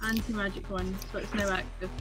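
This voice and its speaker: A woman's voice: medium pitch, little pitch movement, fairly quick, rough recording. A woman running a tabletop roleplaying game as game master, talking casually over synth music. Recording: music playing behind the voice. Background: synth background music.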